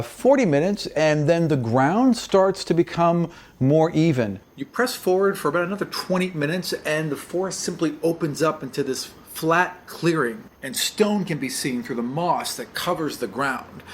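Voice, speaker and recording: A man's voice: on the high side, slight background noise. A man narrating an improvised tabletop game as game master in a casual, conversational way.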